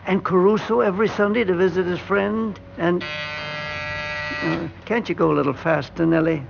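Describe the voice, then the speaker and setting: A man playing a kindly, sentimental elevator operator in his seventies in an old-time radio drama, with a creaky, folksy, hesitant manner. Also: sits high, moderate pace. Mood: fond, wistful. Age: older. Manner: folksy, chuckling, hesitant, talks tenderly. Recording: dull, hissy vintage radio recording.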